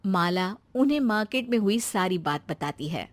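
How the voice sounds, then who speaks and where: A woman's voice: mid-range voice, expressive, normal speed. A woman reading scripted narration for a family moral tale as a storyteller.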